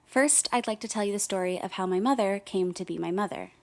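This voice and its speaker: A woman's voice: mid-range voice, fast talker, close to an everyday voice. A woman playing a blunt, sardonic woman in her thirties giving candid first-person narration in a radio play. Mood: reflective. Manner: frank, sardonic, swears.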